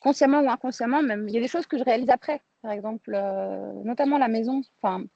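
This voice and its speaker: A woman's voice: fairly high pitch, fast, creaky, no room sound. A woman from France speaking as an interviewed film director, reflective and informal, thinking aloud and rephrasing as she goes, on slightly lo-fi audio.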